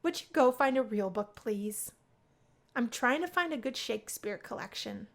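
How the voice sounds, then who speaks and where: A shy and bookish young college student with a fairly high voice, acted out by a woman. She is the earnest heroine of a visual novel. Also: lively intonation, moderate pace, gravelly.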